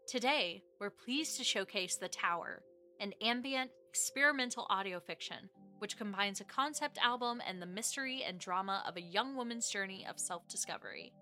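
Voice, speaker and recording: Woman doing scripted podcast promo, bright crisp-edged voice, bit of music under her. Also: medium pitch. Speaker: podcast producer and announcer.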